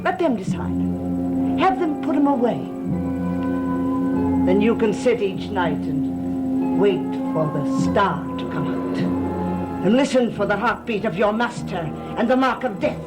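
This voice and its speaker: A sinister woman servant who dabbles in the occult. Her delivery is theatrical and deliberate, with a taunting edge and a medium pitch. It comes from an old gothic television soap, with score playing clearly behind her. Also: very animated. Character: sinister, scheming female servant, defiant occult summoner. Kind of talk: 1960s-70s gothic TV soap acting. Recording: old TV soundtrack with music underneath.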